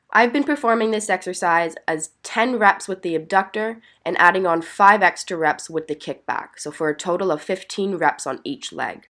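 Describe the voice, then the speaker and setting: A woman's voice: lowish voice, fairly bright tone. A woman with a slightly stuffy, congested voice from being sick, narrating exercise instructions as a fitness vlogger in an encouraging, explanatory way. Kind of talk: instructional workout voice-over.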